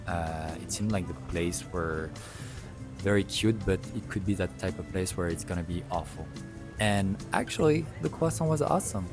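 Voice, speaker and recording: A man's voice: deep voice, expressive, normal speed. A young man with a French accent, talking casually about food in a rambling, chatty vlog full of fillers. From France. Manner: chatty, rambling, with many fillers and self-corrections. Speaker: food vlogger. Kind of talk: casual travel vlog narration. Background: music.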